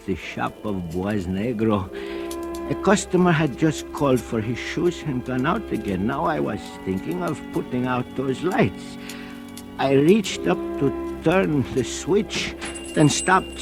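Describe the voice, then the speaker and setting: An actor playing a grim, vengeful old blind and deaf cobbler, narrating his tale slowly in a 1940s radio drama. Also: medium pitch. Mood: brooding. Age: older. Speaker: narrator and lead character. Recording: old radio recording with music bed.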